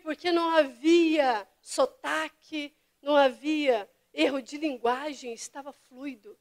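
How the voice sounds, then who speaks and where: A woman pastor delivering a fervent sermon to her church, dropping to hushed whispers and rising to impassioned cries. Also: sits high. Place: church service.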